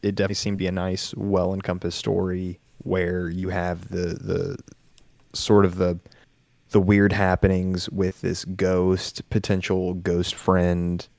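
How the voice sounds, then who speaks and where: A man co-hosting a podcast and chatting casually about stories in a flat, monotone way. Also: low voice, measured pace, gravelly, no echo. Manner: monotone, laid-back delivery. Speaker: podcast co-host and story narrator. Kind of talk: casual chat.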